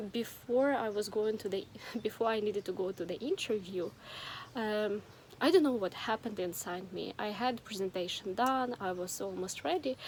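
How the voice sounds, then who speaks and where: A woman's voice: a little high, darkish tone, a little hiss or hum, rough recording. A young woman from Ukraine telling her own story in a casual vlog, chatty, with many fillers and restarts. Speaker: vlogger.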